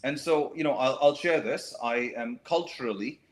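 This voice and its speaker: A middle-aged man raised in the Middle East, speaking as a talk show guest in a thoughtful, measured way with frequent fillers and restarts. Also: medium pitch. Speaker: guest, writer and educator. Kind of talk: reflective talk show conversation.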